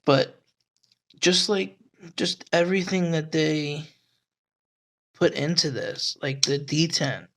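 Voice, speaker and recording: Brief: man, raspy and hoarse, unhurried rambling delivery, slightly high voice. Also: fairly bright tone. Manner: rambling, swearing, frequent fillers. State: hoarse at night. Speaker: knife reviewer. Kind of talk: casual talk to camera.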